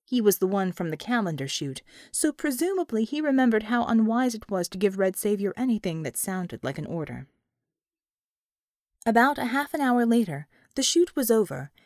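Animated woman narrating fiction. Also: medium pitch, no echo, studio quality. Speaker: audiobook narrator. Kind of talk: expressive scripted fiction narration.

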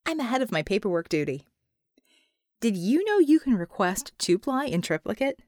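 A woman's voice: medium pitch, very animated, normal speed, a little mellow, dry recording, natural voice. A woman voicing a practical, kindly but skeptical female comrade in an audiobook, with dry humor. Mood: dryly caring.

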